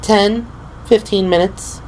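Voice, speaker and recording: A man's voice: high voice, fairly even intonation, slow pace, a little mellow, voice pushed up, poor audio. A man voicing an eager, clever young woman, a medic in an audio drama. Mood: excited. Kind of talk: audio drama character acting. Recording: faint background noise.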